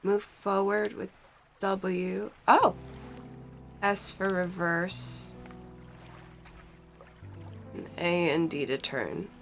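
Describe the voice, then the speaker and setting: A woman's voice: fairly low pitch, fairly even intonation, drawn-out words, pauses often, faint music behind. A young woman with a stuffy nose from a cold, chatting casually as she plays a game in her basement and reads its text aloud. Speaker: gaming channel host. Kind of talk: casual let's-play commentary. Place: cold basement in front of a green screen. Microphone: desk mic while wearing headphones.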